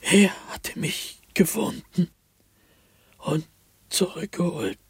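An actor playing a haunted, fearful diarist sliding into madness in a dramatic, intense radio drama monologue, at times breathy and whispering. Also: male voice, high-pitched, slow, no room sound, exaggerated voice. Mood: agitated, panicked.

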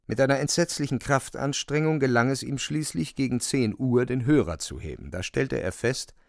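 Male narrator, medium pitch, creaky, dry close sound, measured literary storytelling. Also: dry recording. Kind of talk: literary narration in radio drama.

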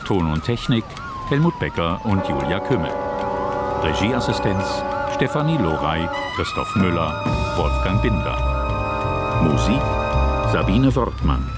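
A man reading out radio drama credits as announcer, in a measured, formal way. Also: low-pitched, sing-song, over loud music.

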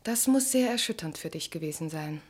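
An actress playing a bitter, mocking estranged wife in a German literary radio play, with an articulate, cutting, theatrical delivery. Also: female voice, low voice, animated, fairly quick, a little mellow, breathy edge. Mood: cool mockery.

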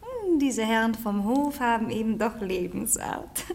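Radio play: haughty, pleasure-loving young wife, coquettish, high and very lively in pitch. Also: female voice, unhurried, vocal fry, heavily acted. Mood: coy. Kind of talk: theatrical radio play acting.

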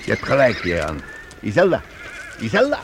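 A roused fugitive leader. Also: male voice, lowish voice, wildly swooping pitch, normal speed, noisy background. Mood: alarmed. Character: woken male master, leader of the fugitives.

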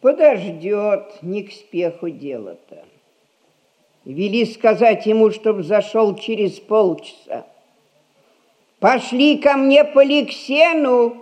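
An actress playing a stern, rich old grandmother who rules a merchant household, speaking in measured, folksy old-fashioned phrases in a stage comedy. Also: medium pitch, expressive, darkish tone. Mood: imperious, unhurried. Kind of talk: Russian stage comedy recorded as radio play.

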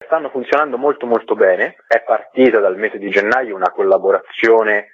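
A man's voice: fairly low pitch, moderate pace, breathy edge, dry recording. A man giving conversational, explanatory interview answers as a guest actor, repeating words for emphasis, over a telephone line. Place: phone call into a radio programme. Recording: tinny, narrow-band telephone line.

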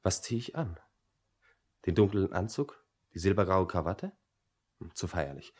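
A man's voice: low-pitched, lively intonation, a little slow, slightly rough, exaggerated voice. An actor playing an idle, contrary young man, brooding and self-important, in a German radio play. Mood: musing. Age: young adult. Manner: pompous, argumentative.

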